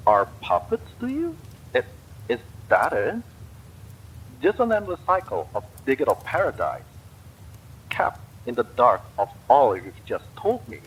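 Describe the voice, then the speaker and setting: A man's voice: medium pitch, a little hiss or hum, pitched above the performer's own voice, poor audio. A man voicing a frightened, angry survivor stuck in a space pod, stammering out questions.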